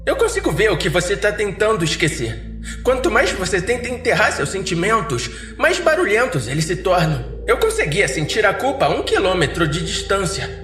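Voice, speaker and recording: A man voicing an eerie, condescending shapeshifting creature in the guise of a woman, with softened, taunting speech for a horror audiodrama. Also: high voice, big pitch swings, moderate pace, roomy sound, soft background music, pitched above the performer's own voice.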